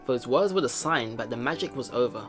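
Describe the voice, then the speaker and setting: A man reading a visual novel aloud as a let's play host, with expressive dramatic narration and his own commentary. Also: mid-range voice, a little fast. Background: game music.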